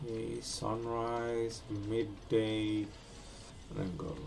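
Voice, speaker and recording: Slow-talking male gaming streamer. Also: on the low side, level tone, vocal fry, darkish tone. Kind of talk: casual game playthrough commentary.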